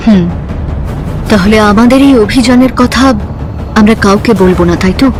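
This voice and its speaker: A woman's voice: medium pitch, normal speed, music in the background, close to an everyday voice. A woman playing a noble-born, curious young adventurer in an audio drama, speaking expressively and questioningly. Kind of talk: dramatised audio story acting.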